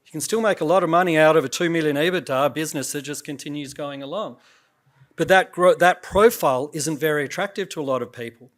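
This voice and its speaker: A man pitches a new venture to a room of investors from the stage. His voice sits a little high and is slightly croaky. He talks loosely, with plenty of fillers and restarts, as if speaking without notes. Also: quick pace. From Australia. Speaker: startup CEO pitching to investors. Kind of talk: conference pitch talk.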